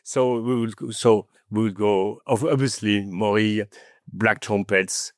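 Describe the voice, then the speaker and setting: A man with a French accent, French his first language, talking as a podcast guest in an animated storytelling manner, often adding "okay?" and "you know". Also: lowish voice, slow pace, no echo, clean studio sound. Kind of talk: conversational interview.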